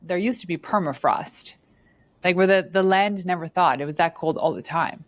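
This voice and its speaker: A woman from Northern Ontario hosting an interview podcast, chatty and quick to laugh, with plenty of fillers. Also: fairly low pitch. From Northern Ontario, Canada. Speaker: podcast host. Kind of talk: casual interview chat.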